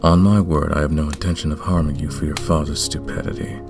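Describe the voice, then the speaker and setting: A man playing a courtly, commanding, lovestruck vampire king in a romantic ASMR roleplay, speaking in a formal, deliberate way. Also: a bass voice, steady tone, gravelly, music in the background. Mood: gracious, courteous.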